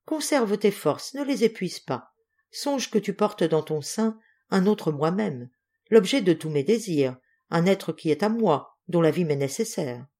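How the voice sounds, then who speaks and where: A woman's voice: lowish voice, lively intonation, unhurried, warm tone. A woman voicing a menacing, feverishly exultant husband possessed by evil in a dramatic story reading. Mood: coaxing, ominous. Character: possessed husband, feverish, menacing, gloating over cursed violin.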